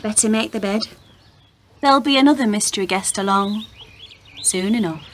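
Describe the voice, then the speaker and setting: A woman playing a dry, stoical, plain-spoken young woman in a radio play, with deadpan delivery. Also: mid-range voice, moderate pace, background noise, natural voice. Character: dry, pragmatic young working-class woman, wry and stoical.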